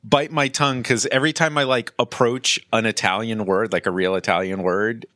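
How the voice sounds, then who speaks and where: A man hosting a podcast in casual chat, animated and often laughing. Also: lowish voice, a little fast, no echo, clean studio sound. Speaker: podcast host.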